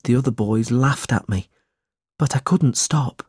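A man's voice: medium pitch, unhurried, darkish tone, husky, no room sound, studio quality. A man voicing a weak, timid orphan boy in a storybook, sad and fearful.

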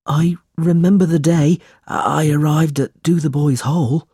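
A man's voice: a little high, fairly even intonation, a little slow, warm tone, breathy edge, no room sound, studio quality, voice pushed up. A man voicing a weak, timid orphan boy in a storybook, sad and fearful.

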